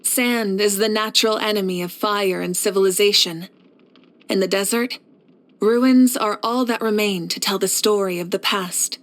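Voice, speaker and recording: A woman playing a confident, kindly fire archon and war leader in a video game, speaking in an assured, measured, encouraging way. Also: mid-range voice, steady tone, natural voice, low-quality recording. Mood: earnest, assured. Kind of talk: scripted video game character voice lines.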